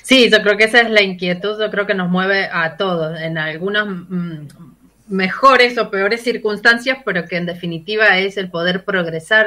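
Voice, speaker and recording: A woman's voice: mid-range voice, sharp tone. A woman with a Rioplatense accent, an interviewer asking long, polite questions while searching for words. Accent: Rioplatense Spanish.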